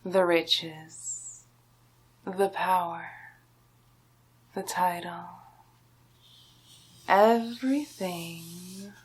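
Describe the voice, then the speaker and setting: A woman voicing a scheming, domineering male sorcerer villain in a slow, breathy, often whispered ASMR roleplay. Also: fairly low pitch, fairly even intonation, heavily acted, low-quality recording. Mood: condescending, menacing. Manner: slow, drawn-out, menacing, hypnotic.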